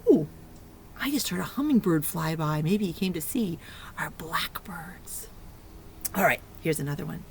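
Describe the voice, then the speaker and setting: A woman's voice: lowish voice, lively intonation, measured pace, slight background noise. A woman leading a lesson for young children in a playful, sing-song preschool teacher's manner. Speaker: early learning preschool teacher. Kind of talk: children's lesson with sung fingerplay rhymes.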